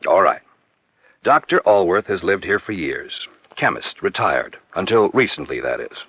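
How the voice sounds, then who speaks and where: An actor playing a serious, assertive young American civic official in a 1950s radio drama, earnest and direct. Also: male voice, low-pitched, big pitch swings, normal speed, a bit bright, slightly rough, dry recording, muffled recording, exaggerated voice. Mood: businesslike, assertive.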